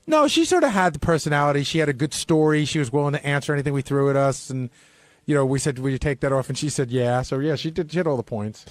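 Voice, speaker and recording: A man's voice: a little high, fast, no echo. A man chatting casually as a regular on a radio show. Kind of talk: casual radio wrap-up banter.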